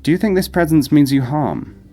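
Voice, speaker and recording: Actor as a calm, probing male psychologist. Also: on the high side, normal speed, music in the background, natural voice. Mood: concerned. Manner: even, questioning. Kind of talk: audio drama acting.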